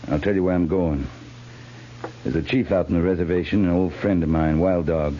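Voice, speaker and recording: An actor playing a stern, resolute cavalry captain in a 1950s radio western, speaking in a clipped, grave, commanding way. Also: male voice, low-pitched, steady tone, a little fast, mellow, natural voice. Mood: firm, terse. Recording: muffled, noisy 1950s radio recording.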